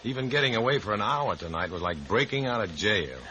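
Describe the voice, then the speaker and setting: Tough undercover informant: deep voice, terse delivery, 1950s radio drama, faint noise. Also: male voice, animated, brisk, sharp tone. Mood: grimly determined. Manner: terse, hard-boiled. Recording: faintly noisy vintage radio recording.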